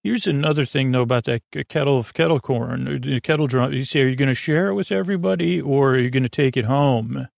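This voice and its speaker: A man hosting a sleep podcast in a casual, meandering monologue, halting and repeating himself as he drifts into tangents. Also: mid-range voice, gravelly, no room sound. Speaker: podcast host.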